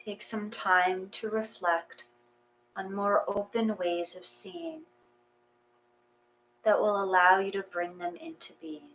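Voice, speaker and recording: A woman leads a guided meditation at a slow, spacious pace. Her voice sits at a medium pitch and she reads gently, leaving pauses between phrases. A faint electrical hum runs under the recording. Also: low-quality recording.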